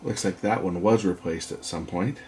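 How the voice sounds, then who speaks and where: A man's voice: low-pitched, normal speed, darkish tone, a little hiss or hum. A man giving unscripted, hands-on repair commentary, explaining matter-of-factly and thinking aloud as he works. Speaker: electronics repairer and video host. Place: indoors at a repair workbench.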